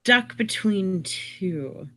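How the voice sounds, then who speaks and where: A woman on a podcast panel sharing a personal story, speaking earnestly and haltingly with many pauses. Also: medium pitch, animated, noisy background.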